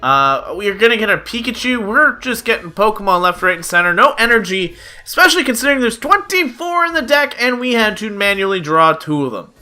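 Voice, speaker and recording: Young guy narrating his card game, kinda stuffy nose, high sharp voice, music under it. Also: big pitch swings, brisk, hardly any pauses. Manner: chatty play-by-play with frequent "uh" fillers. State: slightly nasal from a cold. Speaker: gaming YouTuber. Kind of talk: casual card game commentary. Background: background music.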